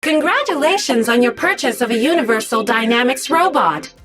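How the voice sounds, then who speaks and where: A woman voicing a polite, upbeat female service robot in an animated film, with chirpy, formal salesmanlike delivery. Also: a little high, fairly quick, music in the background, lightly acted. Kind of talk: film robot greeting, multilingual announcement.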